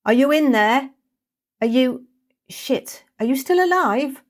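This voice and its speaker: A woman voicing an excitable, easily exasperated young trainee witch in a storybook. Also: high voice, takes it slowly, pitched above the performer's own voice. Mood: alarmed, urgent.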